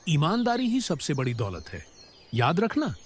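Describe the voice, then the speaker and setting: Man acting as earnest, animated honest farmer. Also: on the high side, normal speed, slight background noise. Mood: righteous, forgiving. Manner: earnest, righteous. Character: honest, hardworking, forgiving young village farmer. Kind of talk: animated moral story acting.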